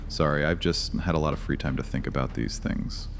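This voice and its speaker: An actor playing an ancient vampire high priest in an audio horror drama, grandiose, sardonic and taunting. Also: male voice, a little slow, background noise. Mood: flippant. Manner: theatrical, sardonic, taunting, with casual asides. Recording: long cavernous reverb.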